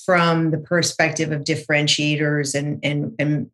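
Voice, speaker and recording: A middle-aged woman whose first language is French, guesting on an interview and telling her story in a chatty, animated way with frequent restarts. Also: low voice, measured pace. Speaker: marketing consultant guest. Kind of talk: conversational interview.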